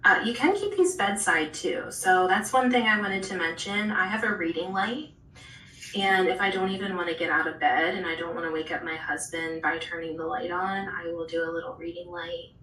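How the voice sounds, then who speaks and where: Female art instructor, gentle and chatty, live-stream audio with room echo. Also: on the low side, moderate pace, far from the mic, a little hiss or hum. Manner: soft-spoken, chatty, answering viewers while drawing. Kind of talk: live online art class.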